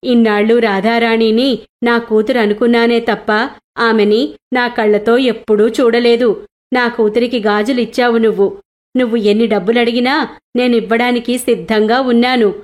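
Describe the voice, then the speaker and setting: A woman's voice: fairly high pitch, fairly even intonation, moderate pace, natural voice. A woman voicing a devout, fatherly older merchant in an earnest way for a moral storybook tale. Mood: tender, remorseful. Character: devout wealthy merchant, fatherly older man with grown daughters-in-law.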